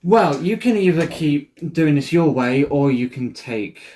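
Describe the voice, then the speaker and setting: A tabletop game player speaking in character in a calm, measured, persuasive way. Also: mid-range voice, a little hiss or hum. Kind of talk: in-character roleplay.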